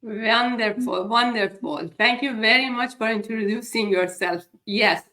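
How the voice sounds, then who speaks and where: A woman's voice: fairly high pitch, sharp tone. A middle-aged woman from Iran hosting a live chat, speaking hesitantly with many fillers. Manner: slow, hesitant, frequent fillers. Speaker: host.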